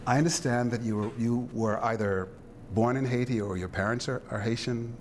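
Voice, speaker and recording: A man interviewing a guest, speaking in a reflective, halting way with frequent fillers and restarts. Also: medium pitch, a little hiss or hum.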